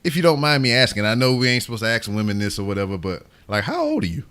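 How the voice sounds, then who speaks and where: A man's voice: medium pitch, big pitch swings, fast, fairly bright tone. A man hosting a casual podcast interview, joking and swearing in slangy banter. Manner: joking, profane, laughs often. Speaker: podcast host interviewing a guest.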